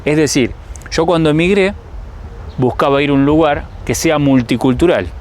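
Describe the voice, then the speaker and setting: A man's voice: fairly high pitch, normal speed, a little mellow. A man in his thirties from Rosario, Argentina, with a Rosario accent, talking casually and reflectively to viewers as a travel vlogger. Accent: Argentine Spanish, Rosario. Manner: reflective, thinks aloud, addresses viewers directly. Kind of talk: casual talk to camera.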